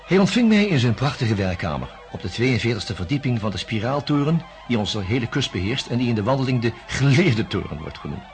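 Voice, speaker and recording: An actor playing a measured, polite male psychiatrist in a radio drama, speaking deliberately and reflectively. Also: mid-range voice, sing-song, soft background music. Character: measured, open-minded male psychiatrist investigating a case. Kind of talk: radio drama acting and first-person narration.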